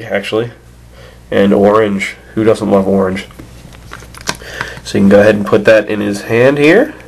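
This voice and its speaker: A man with a stuffed-up nose from a cold, casually reviewing an action figure with many fillers and restarts. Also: fairly low pitch. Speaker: toy reviewer.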